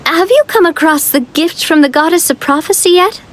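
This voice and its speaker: High, breezy young leader. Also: female voice, a little fast. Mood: matter-of-fact. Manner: breezy, self-assured, conversational. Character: confident, breezy young female leader, upbeat and adventurous. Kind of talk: animated game dialogue. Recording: music playing behind the voice. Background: game music.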